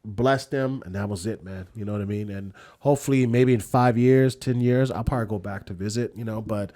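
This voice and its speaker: A man's voice: lowish voice, a little fast, dark tone. A man talking casually on a podcast in an informal, slangy way, often tagging his phrases with "man" and "you know". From United States.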